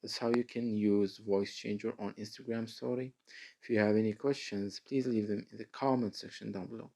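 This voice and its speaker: A man narrating a step-by-step phone app tutorial as its host, in a halting, deliberate way. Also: fairly low pitch, fairly even intonation, gravelly, dark tone.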